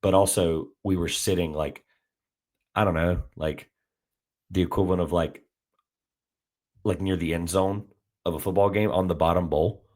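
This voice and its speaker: Male podcast host, very low voice, slightly raspy, chatty and rambling. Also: steady tone, moderate pace, pauses often, darkish tone. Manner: rambling, lots of "like" fillers and restarts. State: slightly raspy from heavy talking. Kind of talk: casual sports podcast chat.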